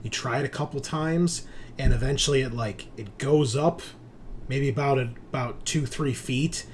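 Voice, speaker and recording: A man narrating a tabletop game as game master, describing scenes in a casual, unhurried way. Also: mid-range voice. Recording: faint background noise.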